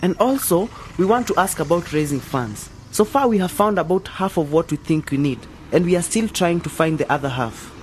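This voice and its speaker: A sceptical young man in a radio drama, played by an actor, outspoken and a student. His voice is slightly high and very animated, over faint outdoor noise. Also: a little fast, hardly any pauses, close to an everyday voice. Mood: curious. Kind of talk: scripted radio drama dialogue.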